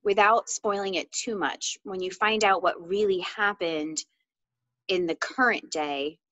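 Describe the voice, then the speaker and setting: Woman, book-chat co-host, casual and candid, mid pitch, close dry sound, a little lo-fi. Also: unhurried, a bit bright, no room sound. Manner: candid, conversational, peppered with "like".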